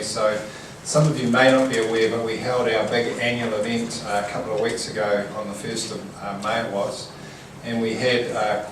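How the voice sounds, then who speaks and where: A man with a loud, projecting voice giving an informal corporate welcome talk. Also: fairly low pitch. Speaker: company general manager welcoming guests. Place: indoor event venue in an office building. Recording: echoey room, distant mic, noisy.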